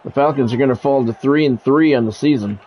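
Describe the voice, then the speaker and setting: A man's voice: mid-range voice, fast talker, muffled recording, a little hiss or hum. A man doing live play-by-play of a football video game, mixed with blunt, salty, conversational asides. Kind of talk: live sports play-by-play commentary mixed with casual chat.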